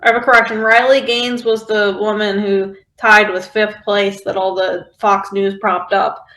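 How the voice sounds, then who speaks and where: Female podcast guest, recorded with noticeable room echo. Medium pitch, conversational, halting delivery with filler words. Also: level tone, bright, forceful tone. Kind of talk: casual discussion. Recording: roomy, echoing sound.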